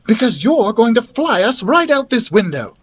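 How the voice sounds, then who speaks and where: A man voicing a bossy, excitable young princess in a storybook, commanding and emphatic. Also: very high voice, normal speed, slightly rough, pitched above the performer's own voice, heavily acted.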